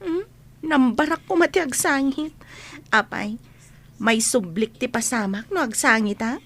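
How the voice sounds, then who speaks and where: A woman's voice: a little high, unhurried, a bit bright. A woman playing a caring, earnest schoolgirl friend in a radio drama. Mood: caring, concerned. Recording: faint background ambience and noise.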